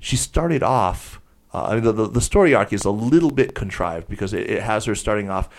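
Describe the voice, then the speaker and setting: A man's voice: on the low side, animated, fairly quick. A man hosting a podcast, chatting casually in a wordy, digressive way with an erudite vocabulary. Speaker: podcast host. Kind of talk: casual panel chat.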